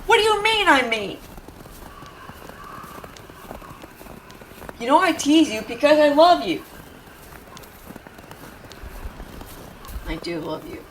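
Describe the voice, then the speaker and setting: A woman's voice: sits high, very animated, a little fast, far from the mic, noisy background. A woman playing a brash but caring tsundere young woman in an intimate audio roleplay, scolding with tender worry underneath. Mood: urgent, tender. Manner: brusque, scolding, exasperated, with tender worried undertones. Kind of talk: intimate dramatic audio roleplay addressed to the listener.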